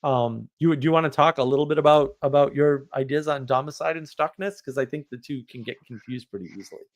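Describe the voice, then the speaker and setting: A man's voice: medium pitch, quick pace. Man hosting, tentative and searching for words. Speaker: host. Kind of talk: casual conversation.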